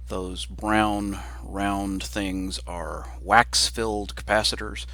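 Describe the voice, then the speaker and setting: Low-pitched, slow-spoken man with a bright edge. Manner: halting, pausing between phrases, explanatory. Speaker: hobbyist presenter restoring a vintage radio. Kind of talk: casual narrated walkthrough and demonstration.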